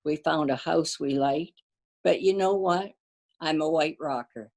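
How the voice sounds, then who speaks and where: An older woman, born to a Danish-speaking family, reminiscing about her community in a casual interview, pausing often with fillers and restarts. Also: deep voice, creaky. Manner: warm storyteller, halting with fillers and restarts. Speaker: guest.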